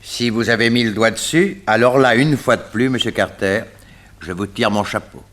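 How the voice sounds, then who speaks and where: An actor playing a skeptical, formal coroner in a French radio mystery play, questioning and doubtful. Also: male voice, fairly low pitch, moderate pace, fairly bright tone, close to an everyday voice. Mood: puzzled. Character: skeptical, formal male coroner, easily astonished.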